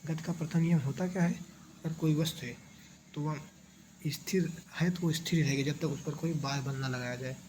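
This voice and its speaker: A man's voice: on the high side, steady tone, normal speed, a little mellow, a little hiss or hum, rough recording. A man teaching an exam revision lesson in question-and-answer form, didactic, asking questions and repeating the answers.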